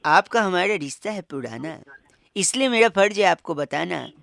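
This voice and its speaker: A man's voice: high voice, animated, normal speed, creaky, fairly bright tone, no echo. A man hosting radio prank calls, talking in a teasing, insistent, mock-polite way and sometimes reciting comic verse. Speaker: radio prank-call host.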